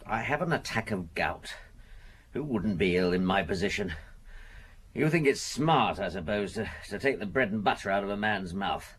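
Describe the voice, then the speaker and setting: Grumpy, raspy, blustering man. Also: lowish voice, lively intonation, moderate pace, a bit bright. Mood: aggrieved, blustering. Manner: peevish, blustering, self-important. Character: grumpy, indignant businessman laid up with gout. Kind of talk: radio drama acting.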